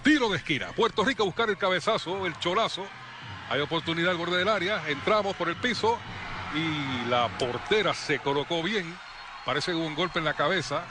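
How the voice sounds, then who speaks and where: A man calling play-by-play for a youth football match, brisk and lively on attacks. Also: fairly high pitch, background noise. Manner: brisk, rising excitement on attacks. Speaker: play-by-play commentator.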